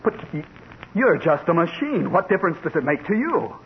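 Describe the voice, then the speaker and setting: An actor playing a cautious, worried doctor of science with an earnest manner, in 1940s radio style. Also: male voice, a little high, very animated, fairly quick. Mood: alarmed. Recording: dull old radio recording with hiss.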